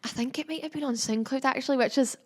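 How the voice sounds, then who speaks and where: A woman's voice: sits high, quick pace. A teenage Scottish girl chatting casually as a podcast guest, filling her talk with likes and ums. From Scotland. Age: teens. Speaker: guest pupil. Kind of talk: casual chat. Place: school classroom.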